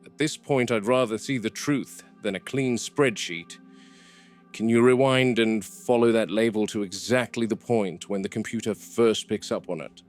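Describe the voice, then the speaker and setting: A man playing a wary, probing game warden in a found-footage horror film, speaking in a measured, plain-spoken way. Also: fairly low pitch, soft background music, close to an everyday voice.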